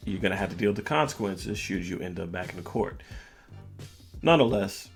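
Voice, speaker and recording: A man hosting a podcast, telling a personal story in a casual, measured way with music playing behind the voice. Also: sits low, lively intonation, gravelly. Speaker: podcast host. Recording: music bed under the voice. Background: background music.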